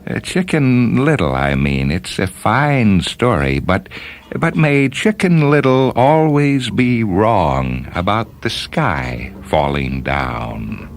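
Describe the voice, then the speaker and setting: A man's voice: lowish voice, big pitch swings, slow, vocal fry, voice pushed up, full character voice. A man voicing an earnest, courteous male visitor in a radio-style story reading, hesitant and formal in his speech. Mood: gently pleading. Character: earnest, polite male visitor pleading against weapons.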